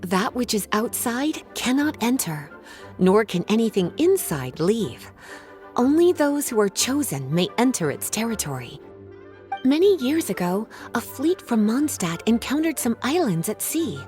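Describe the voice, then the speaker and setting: A poised, knowing librarian from a video game. Her fairly high voice lilts expressively as she speaks in teasing, formal phrases. Soft music sits behind her. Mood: mysterious. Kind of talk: scripted video game dialogue and storytelling.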